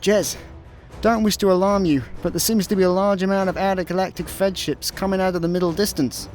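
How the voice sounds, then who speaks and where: High-voiced, fretful Scottish space slacker played by a man. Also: fairly even intonation, a little fast, darkish tone, natural voice. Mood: urgent. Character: hapless male space slacker, fretful and literal-minded. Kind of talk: comic sci-fi radio play acting. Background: music.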